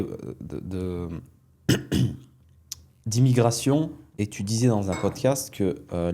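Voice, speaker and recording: A man hosting a podcast, asking casual interview questions in a soft-spoken way with many brief affirmations. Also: on the low side. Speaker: podcast host.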